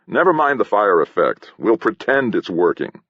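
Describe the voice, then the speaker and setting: A man plays a bossy, irritable stage director in an audiobook. He bellows and scolds curtly, his husky voice rising and swinging widely. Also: on the low side, very animated, normal speed, dry recording, narrow, tinny audio. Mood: impatient. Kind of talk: audiobook character acting.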